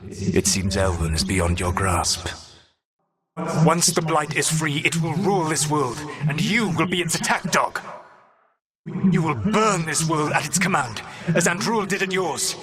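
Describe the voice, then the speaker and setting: An actor playing an ancient elven god in a video game, a grave, calculating mentor and rebel leader who speaks in measured, formal, solemn phrases. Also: male voice, mid-range voice, big-room sound, lightly acted. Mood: scornful, then impassioned. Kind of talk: video game dramatic voice acting.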